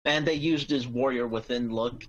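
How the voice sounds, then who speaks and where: A man's voice: medium pitch, steady tone, moderate pace, highs cut off. A man chatting casually as a panelist in a podcast discussion.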